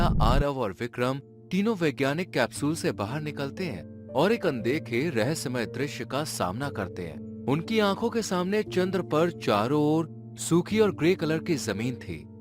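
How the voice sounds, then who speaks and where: A man narrating a scripted dramatic story in a suspenseful storytelling manner. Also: a little high. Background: background music.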